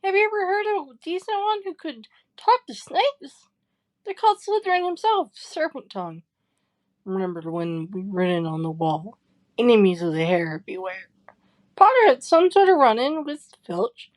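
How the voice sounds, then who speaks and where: Gossipy, insistent school kid played by a woman, high and very lively, dry audio-drama sound. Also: normal speed, dry recording, lightly acted. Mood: insistent, suspicious. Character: gossipy, insistent young schoolchild convinced of rumours. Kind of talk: audio drama character acting.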